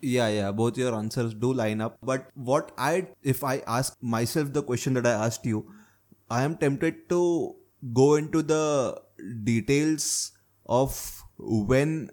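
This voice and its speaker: A man's voice: medium pitch, slow, a little mellow. A young man hosting a casual discussion, posing questions and checking in with his listener as he talks. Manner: probing, keeps adding "right?" checks. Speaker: host asking questions.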